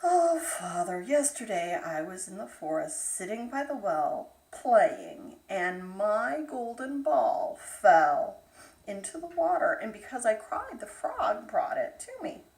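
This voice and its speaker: A woman's voice: mid-range voice, sing-song, slow pace, a little mellow. A woman voicing a spoiled, tearful young fairytale princess with earnest, dramatic delivery. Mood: anxious. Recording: roomy echo, distant microphone.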